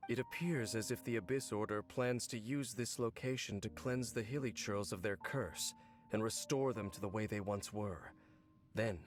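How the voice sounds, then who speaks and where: A man voicing a brooding, knowing wanderer in a video game, speaking in a measured, grave and cool way. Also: fairly low pitch, lightly acted. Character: brooding, knowing male wanderer from a fallen nation. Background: game music.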